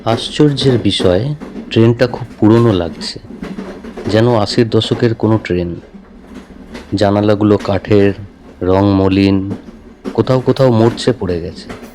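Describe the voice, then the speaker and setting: A man's voice: lowish voice, a little slow, long pauses, noisy background. A man giving a scripted first-person narration of a horror story. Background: music.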